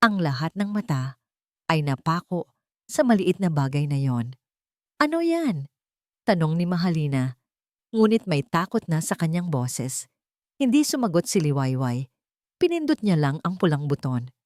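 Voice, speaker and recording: A woman's voice: low-pitched, very animated, moderate pace, a little mellow, no echo, studio quality. A woman delivering dramatic scripted story narration.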